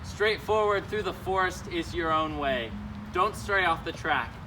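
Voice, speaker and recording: A man's voice: sits high, animated, a little slow. Guy reading as old wizard guide, wise and cautioning, amateur theater, distant and noisy recording. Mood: firm, warning. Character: Gandalf, wise old male wizard guiding and warning travellers. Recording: noisy room, distant microphone.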